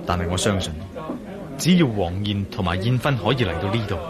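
Radio drama lead: male actor as decisive adventurer, Hong Kong Cantonese, lowish voice, lively urgent intonation. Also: fairly quick, crowd noise, natural voice. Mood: serious, firm. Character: determined, commanding male adventurer on an urgent mission.

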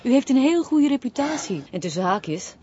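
An actress playing a guarded, composed intelligence agent with a Flemish accent, evasive and slightly condescending. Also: female voice, high voice, big pitch swings, normal speed, mellow, slight background noise. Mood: explaining. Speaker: secret service agent. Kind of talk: television crime drama. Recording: dull lo-fi TV audio with faint ambience.

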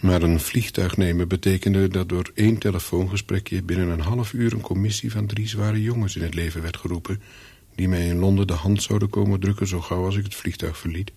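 An actor playing a wary, hunted courier, telling his story in a radio thriller in short, clipped, deliberate sentences. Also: low voice, steady tone, vocal fry, warm tone, husky, full character voice. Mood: wary, brooding. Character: hunted male courier of smuggled microfilms, wary, coolly calculating. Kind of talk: first-person thriller narration in a radio play.

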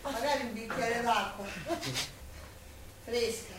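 A woman's voice: on the high side, measured pace, a crowd in the background, close to an everyday voice. An actress playing an idle, vain young woman in a popular stage play, complaining breezily in a colloquial Roman accent. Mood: breezy, complaining. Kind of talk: Italian popular stage comedy-drama dialogue. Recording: echoey, noisy stage recording from far off.

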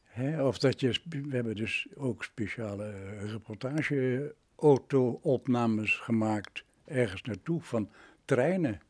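A man's voice: medium pitch, takes it slowly, darkish tone, slightly rough. Very elderly Dutch man reminiscing. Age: 90s. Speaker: retired radio drama director being interviewed. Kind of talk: reminiscing interview talk.

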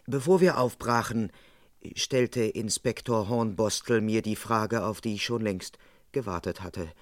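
An actor playing a hapless, deferential police constable in a 1970s German radio crime comedy. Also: male voice, fairly low pitch, unhurried, close to an everyday voice. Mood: wry recounting. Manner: deferential, excuse-making. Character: hapless, apologetic police constable, also telling his story.

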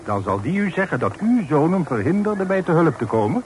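A man's voice: a little high, very animated, a little fast. An actor in a Dutch radio play, playing an earnest, deferential townsman who recounts things formally and politely. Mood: earnest, concerned. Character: earnest, deferential townsman reporting troubling news to a gentleman. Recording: noisy old radio play recording.

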